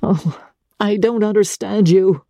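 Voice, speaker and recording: Audiobook role: woman plays a reckless, lovestruck gentleman, urgent and insistent in formal phrases, with a soft, dark-toned voice. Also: mid-range voice, slow, no echo, clean studio sound, pitched above the performer's own voice. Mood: reckless, bewildered. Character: impassioned, reckless engaged gentleman in love. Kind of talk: dramatic literary audiobook reading.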